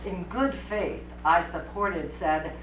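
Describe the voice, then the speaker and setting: A woman board member with a loud voice, blunt and indignant, recorded from far off in an echoey room. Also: on the low side, slow, a little hiss or hum. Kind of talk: heated meeting discussion and reading aloud. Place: community meeting room.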